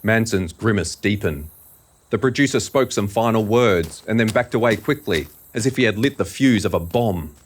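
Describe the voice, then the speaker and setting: A man's voice: on the low side, lively intonation, moderate pace. A man narrating scripted fiction for an audio drama in a dramatic storytelling style.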